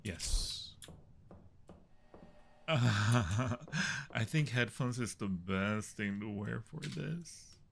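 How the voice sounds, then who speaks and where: A man's voice: medium pitch, fairly bright tone. A man hosting a horror game livestream, chatting casually and muttering his way through tasks. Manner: unhurried, muttering to himself and reading out tasks. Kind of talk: casual gaming commentary. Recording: clear background noise.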